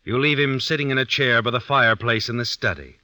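An actor playing an ominous, all-knowing storyteller, narrating an old-time radio mystery in a suspenseful, insinuating manner. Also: male voice, medium pitch, fairly quick, bright, forceful tone, dry recording. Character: ominous, all-knowing shadowy storyteller who walks by night. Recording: muffled vintage radio recording. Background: music.

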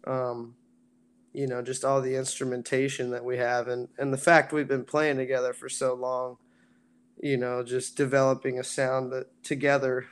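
Raspy band singer guy just chatting, rambling, lots of um and you know. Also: mid-range voice. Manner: relaxed, rambling with many fillers. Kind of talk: casual interview chat.

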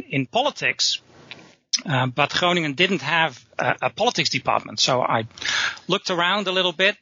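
Man, Dutch accent, husky gravelly voice, bright tense tone, halting repeated words, dull lo-fi remote audio. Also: fairly high pitch, no echo. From north of the Netherlands. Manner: hesitant, repeats words while thinking. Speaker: guest author. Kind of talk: casual interview answers.

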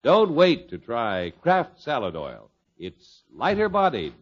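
A man's voice: medium pitch, wildly swooping pitch, slow. An American man announcing and reading scripted ads in an old-time radio style. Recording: muffled vintage radio, faint music.

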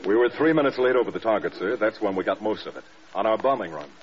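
An actor playing an exhausted, fiercely loyal American bomber group commander in a radio drama, blunt and defensive. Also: male voice, on the low side, expressive, quick pace, close to an everyday voice. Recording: dull, noisy old radio recording.

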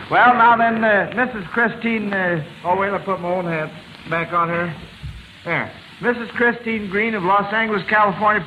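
A man's voice: high-pitched, bright, forceful tone. A man hosting an old radio quiz show, chatty and folksy as he reads questions and repeats answers. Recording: noisy, muffled vintage radio broadcast.

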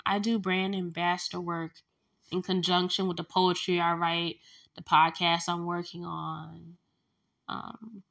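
A woman's voice: fairly low pitch, creaky, bright, forceful tone. A young woman hosting her own podcast, chatting candidly from home while congested with allergies and lying down, with loose fillers and laughs. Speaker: podcast host. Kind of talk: casual solo podcast chat.

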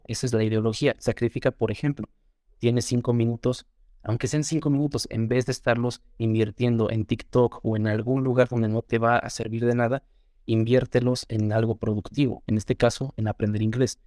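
A man's voice: medium pitch, darkish tone, no room sound. Young Mexican man, earnest and dry. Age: young adult. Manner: earnest, motivational, repeats words as he thinks. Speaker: guest. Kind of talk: casual interview.

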